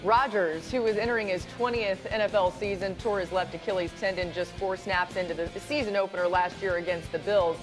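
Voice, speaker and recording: Lively woman hosting a sports show. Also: medium pitch, a little fast, hardly any pauses, over music, rough recording. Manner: lively, playful. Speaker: host. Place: TV studio.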